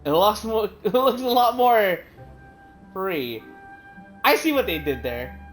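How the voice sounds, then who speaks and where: Brief: easygoing streamer reacting with jokes, lively pitch swings, game music behind. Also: takes it slowly. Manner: chatty, jokey reactions. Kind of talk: casual live gameplay commentary.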